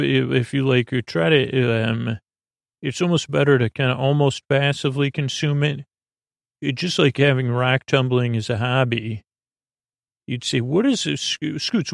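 A man hosting a bedtime podcast in a creaky, soothing voice, rambling through improvised tangents with mumbles and stumbles over his words. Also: mid-range voice, dry recording, studio quality. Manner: soothing, lulling delivery. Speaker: podcast host.

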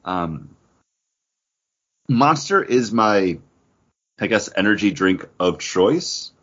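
Low-pitched man, husky voice, American accent, casual podcast host, slightly dull recording. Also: sing-song, slow pace, fairly bright tone.

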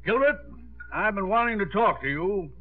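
High-pitched, blustery old gent. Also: male voice, animated, moderate pace. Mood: scolding, surprised. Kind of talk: 1950s radio comedy acting. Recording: tinny 1950s radio broadcast.

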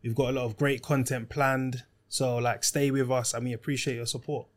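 Mid-pitched London man co-hosting a podcast. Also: flat delivery, fairly quick. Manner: informal London slang. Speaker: podcast co-host. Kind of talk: casual chat interview. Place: podcast studio.

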